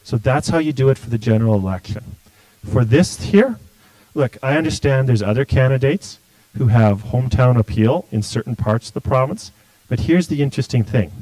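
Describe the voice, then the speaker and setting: Blunt guy answering questions on a livestream, dry sound, mid pitch. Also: expressive, normal speed, dark tone, no room sound, low-quality recording. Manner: blunt, forthright, restarts often. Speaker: political candidate.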